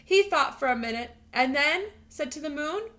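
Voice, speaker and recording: A woman teacher reading a picture book aloud to young children, expressive and instructional, pausing to put questions to them. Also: sits high. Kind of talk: animated read-aloud storytelling with questions to young learners.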